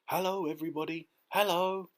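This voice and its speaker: A small, cheerful boy from a children's story, acted by a male reader. He calls out in a friendly way, pitched well above a grown man's talk. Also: steady tone, brisk, close to an everyday voice. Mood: cheerful, reassuring. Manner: calling out.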